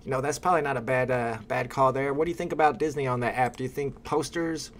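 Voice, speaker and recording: A man's voice: medium pitch, steady tone, quick pace, slight background noise. A young man hosting a casual livestream from a room at home, chatting with a guest and reading viewer comments aloud. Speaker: livestream host.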